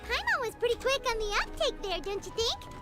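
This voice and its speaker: A woman voicing a tiny, excitable, childlike fairy companion in a video game, with a perky way of talking. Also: very high voice, fairly quick, loud music behind the voice. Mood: chatty. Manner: refers to self in third person, perky.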